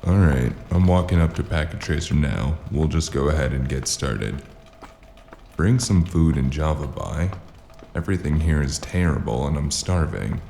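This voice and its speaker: Hardboiled cyberpunk detective, rock-bottom pitch, dark tone, deadpan, sardonic, noir audio drama. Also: male voice, moderate pace, slight background noise, heavily acted. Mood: dry, guarded. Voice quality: gravelly. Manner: dry, deadpan, clipped. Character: weary, sardonic male noir investigator in a cyberpunk city. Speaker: lead actor and narrator.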